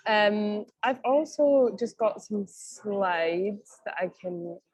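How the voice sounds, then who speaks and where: A woman's voice: mid-range voice, lively intonation, slow, darkish tone, breathy edge, poor audio. A young woman with a Scottish accent giving a chatty presentation on a video call from a cafe, with plenty of ums and restarts. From Scotland. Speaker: guest speaker, senior advisor.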